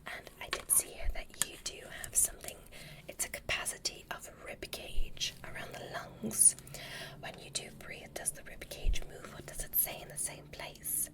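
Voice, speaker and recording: A woman voicing a calm, curious alien doctor in an ASMR roleplay, speaking in a gentle, breathy whisper with an unhurried, formal manner. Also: lightly acted, rough recording. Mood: curious, clinical. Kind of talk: ASMR medical exam roleplay.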